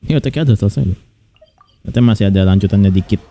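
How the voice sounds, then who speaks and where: A man's voice: fairly low pitch, racing, warm tone. A man from Indonesia giving casual, offhand commentary as he streams a story game. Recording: voice over game music.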